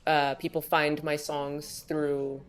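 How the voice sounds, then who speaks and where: A woman's voice: sits low, flat delivery, slow pace, smooth. A woman who grew up in Singapore, a songwriter and content creator, talking openly as a guest in a casual podcast chat, filling her sentences with "like". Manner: open and chatty, many fillers like "like".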